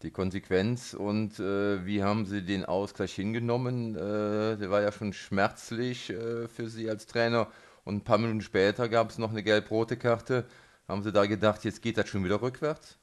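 A man hosting a local sports radio interview, phrasing his questions in a halting, hesitant way. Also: on the low side, level tone. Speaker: radio host interviewing a football coach.

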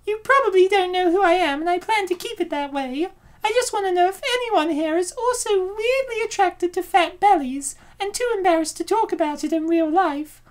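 Casting: actress as very high-voiced young cartoon woman, anxious, eager, over-earnest. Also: normal speed. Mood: eager, coy. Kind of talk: comic dub acting.

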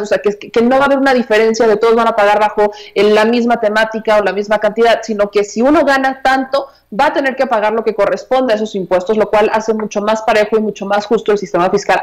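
A woman's voice: mid-range voice, fairly even intonation, quick pace, few pauses, poor audio. Woman in her 30s w/ mexican accent, chatty emphatic political commentary, earphone mic at home. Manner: emphatic, conversational, repeats herself. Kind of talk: news commentary. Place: small room at home.

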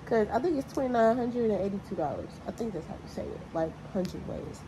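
A young woman talks straight to her viewers in a loose, blunt, slangy way, tallying figures aloud as she goes. Her voice sits at an ordinary middle pitch. A faint hiss of background noise runs under the recording. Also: lively intonation, brisk, warm tone, poor audio. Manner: counting money aloud, chatty and blunt with slang. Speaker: vlogger. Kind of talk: casual vlog talk to camera.

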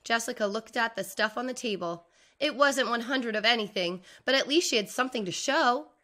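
A middle-aged woman reading a children's picture book aloud like a teacher, expressively and with deliberate enunciation. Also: on the high side. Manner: deliberate enunciation, animated for young listeners. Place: indoors, video recording.

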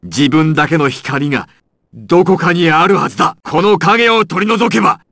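A man voicing a ruthless, imperious crime boss in a dramatic anime video game, theatrical, menacing and deliberate. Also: dry recording, clean studio sound. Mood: fierce, triumphant. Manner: theatrical, menacing, deliberate, swinging from low murmur to shouts. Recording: loud music over game voice clips. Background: loud game music.

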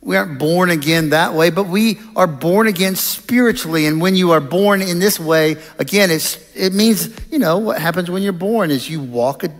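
Middle-aged male chapel preacher, slightly high voice, deliberate and explanatory, reverberant auditorium. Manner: measured, deliberate delivery with pauses. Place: large auditorium stage. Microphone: headset microphone. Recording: reverberant hall sound.

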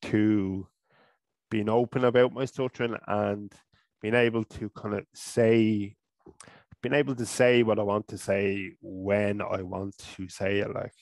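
A man in his thirties with an Irish accent, talking in a casual interview and stuttering, halting and restarting often, into a headset mic in a small room at home. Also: on the low side, little pitch movement, a little mellow, dry recording. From Ireland. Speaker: guest.

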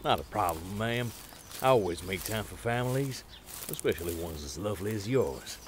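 A man voicing a courteous but sinister family photographer, polite and unhurried, in a comic dub. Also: lowish voice, lively intonation, a little hiss or hum, lightly acted. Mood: smooth, courteous.

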